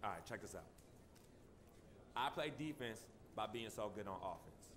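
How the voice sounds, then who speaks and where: A man's voice: on the low side, animated, moderate pace, noisy background, close to an everyday voice. A man acts as a cocky young pro athlete at a video game press conference. He talks in brash, slangy, dismissive answers, with faint room ambience behind him. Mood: cocky, insistent.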